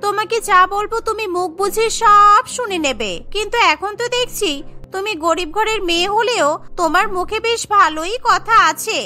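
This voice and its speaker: A woman's voice: very high voice, moderate pace, pushed and bright, faint music behind. A woman voicing a haughty, scheming elder sister-in-law in a cartoon, bossy and taunting. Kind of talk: cartoon voice acting.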